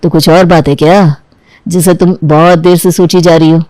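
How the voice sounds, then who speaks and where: A woman's voice: lowish voice, fairly even intonation, normal speed, warm tone, no echo, pitched below the performer's own voice, natural voice. A woman voicing a caring young husband in a family story, reassuring and attentive. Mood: concerned. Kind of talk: acted dialogue in a Hindi family storybook tale.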